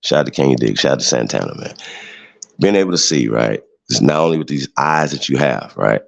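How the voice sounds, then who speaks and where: Deep gravelly man, preachy and emphatic. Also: lively intonation, a little fast. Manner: emphatic, preachy, repeats himself with many "right?" tags. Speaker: show host. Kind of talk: heated livestream monologue.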